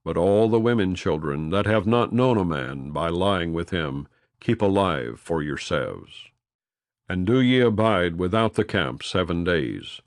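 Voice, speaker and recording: A man narrating an audiobook of scripture in a solemn, formal and measured way, with deliberate phrasing. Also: sits low, lively intonation, no room sound, clean studio sound.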